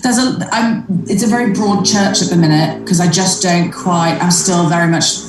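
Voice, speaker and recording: A woman's voice: on the low side, fairly even intonation, normal speed, recorded from a distance. A woman in her thirties with an English accent, a comedian talking casually in an interview, with many fillers and restarts. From Australia. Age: 30s. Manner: hesitant, lots of fillers and restarts, laughs often. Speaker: stand-up comedian, guest. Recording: roomy, from off the mic.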